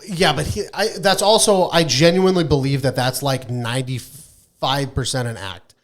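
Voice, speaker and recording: A man's voice: mid-range voice, normal speed. Profane, informal man talking gaming. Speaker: podcast co-host. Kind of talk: casual podcast chat about gaming.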